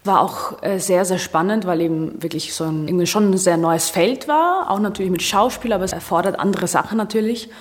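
A young woman in her early twenties with a somewhat low voice. She talks casually in an interview, as an acting student giving her own account.

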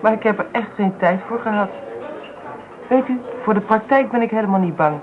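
Young, deferential daughter figure played by a woman, medium pitch, earnest and polite, radio drama. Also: brisk, natural voice. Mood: apologetic, earnest. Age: young adult. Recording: tinny, noisy old radio recording.

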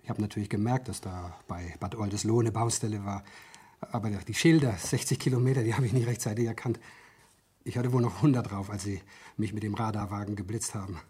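Nervous, husky office manager. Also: male voice, fairly low pitch, fairly even intonation, a little fast, warm tone. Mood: polite, explaining. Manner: hesitant, stammering, eager to explain. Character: polite, nervous male office manager under questioning. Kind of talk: 1970s German radio crime drama acting.

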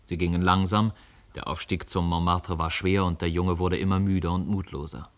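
A man narrating a literary radio play in a measured storytelling manner. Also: deep voice, steady tone.

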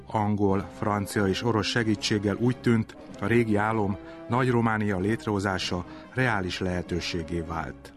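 A man delivering scripted historical narration as a documentary narrator. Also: fairly low pitch.

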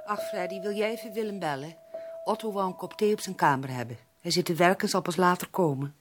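An actress plays a kindly, homely mother in an old radio drama. Her voice is a little low. The recording has noticeable noise. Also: quick pace, lightly acted. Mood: homely, obliging. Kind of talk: 1980s Dutch radio drama acting.

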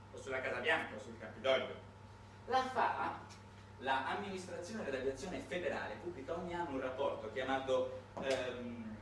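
A man performing a satirical theatrical monologue with ironic, halting, dramatic delivery. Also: a little high, far from the mic, noisy background. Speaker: monologue actor and narrator. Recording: very echoey room, recorded from afar.

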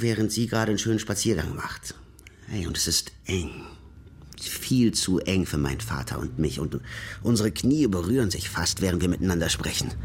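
A man's voice: low-pitched, breathy edge. An actor playing a sarcastic middle-aged fugitive in a radio crime drama, telling his story in a colloquial, rambling way. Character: sarcastic, rambling fugitive man, prosecutor's resentful son. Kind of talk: first-person narration and dialogue in German radio crime drama.

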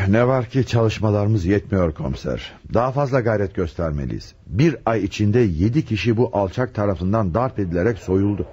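An actor playing a grave, determined police chief inspector in a radio drama. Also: male voice, on the low side, animated, normal speed, a little mellow, slightly rough. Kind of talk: radio play acting. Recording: muffled old radio drama, faint noise.

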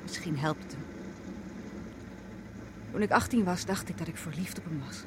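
A woman's voice: lowish voice, normal speed, lightly acted. An actress playing a headstrong, blunt woman journalist in a radio play, curt, challenging and emotional. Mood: wistful. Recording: noisy radio play recording, car sounds. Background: car engine and road noise.

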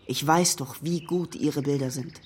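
An actor playing a gallant young gentleman suitor in a period radio drama, effusive, flustered and eager to flatter. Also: on the high side, measured pace, a little hiss or hum, natural voice. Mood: pleading, flattering. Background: prominent music.

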